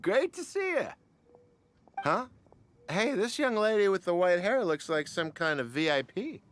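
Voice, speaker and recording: An actor playing a brash, nosy man in a game who is loud and overfamiliar. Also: sits high, very animated, unhurried, a little hiss or hum, exaggerated voice. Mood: pushy. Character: brash nosy male barfly, pushy. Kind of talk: game voice acting.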